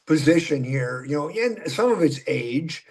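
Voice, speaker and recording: A husky, breathy man in his late seventies with a Minnesotan accent, his voice sitting a bit high for a man. He talks in a folksy, rambling way, chuckling now and then as he makes his points. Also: animated, measured pace. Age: 70s. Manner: folksy, chatty, laughs while talking. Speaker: political candidate. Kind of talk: casual political conversation.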